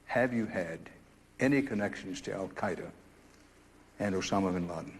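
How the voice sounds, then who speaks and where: Low-voiced guy asking formal direct questions, long room echo. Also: moderate pace, a little hiss or hum. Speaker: interviewer.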